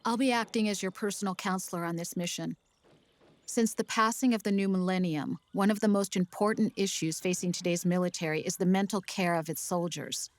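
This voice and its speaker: An actress playing a composed young military counselor in a video game, formal and informative, with an American accent. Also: female voice, lowish voice, fairly even intonation, a little fast, close to an everyday voice. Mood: composed, professional. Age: young adult. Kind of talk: video game cutscene acting.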